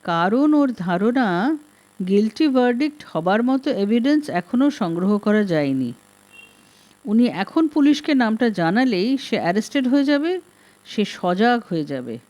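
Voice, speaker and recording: A woman reading an audiobook as a composed, firm wife who talks in a calm, matter-of-fact way. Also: on the high side, a little slow. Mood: confiding. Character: composed, firm wife managing her drinking husband. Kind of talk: audiobook detective story reading.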